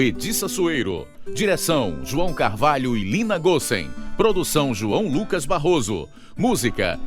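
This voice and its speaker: A man with a Brazilian accent hosting a radio program, reading a scripted gospel appeal and announcements. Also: fairly high pitch.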